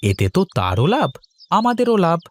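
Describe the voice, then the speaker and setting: A scheming young villager played by a man in a cartoon, eager and casual. His voice is fairly high and jumps around a lot in pitch. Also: huge pitch swings, moderate pace. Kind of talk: cartoon voice acting.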